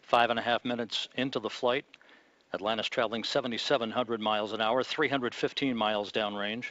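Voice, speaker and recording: A man giving live launch commentary from mission control, in a measured, calm announcer delivery. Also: mid-range voice, no echo, muffled recording.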